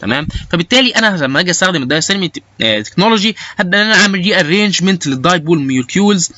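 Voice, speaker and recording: A man's voice: high voice, lively intonation, very fast, bright, forceful tone, no echo, muffled recording. A man with an Egyptian Arabic accent giving an informal, explanatory lecture, dropping English technical terms into his speech. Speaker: lecturer, biomedical engineering instructor.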